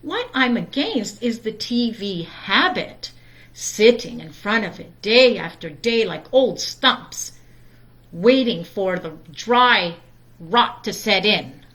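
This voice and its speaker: Woman reading as a firm, slow-spoken mother bear. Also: medium pitch, expressive, a bit bright. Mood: explaining.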